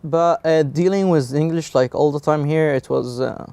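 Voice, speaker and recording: A young man from Egypt speaks in a smooth, resonant voice, close to the mic with no room sound. He answers plainly and bluntly, stopping and restarting often with fillers. He sounds like a student guest on a small studio show. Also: a little high, normal speed, mellow, no room sound. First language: Egyptian Arabic. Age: young adult. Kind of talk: casual interview answers.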